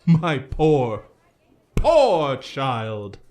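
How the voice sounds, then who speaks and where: An actor playing a pious, condescending evangelical preacher in a melodramatic 1950s radio drama, quoting scripture in a pompous, theatrical way. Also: male voice, medium pitch, wildly swooping pitch, extremely slow, darkish tone, exaggerated voice. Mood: fervent praying.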